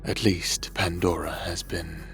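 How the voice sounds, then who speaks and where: A man's voice: sits low, flat delivery, measured pace, vocal fry, fairly bright tone, soft background music, heavily acted. A man in a fantasy audio drama playing a lonely, brooding god of the underworld, earnest and hesitant in his speech. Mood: mournful, hushed.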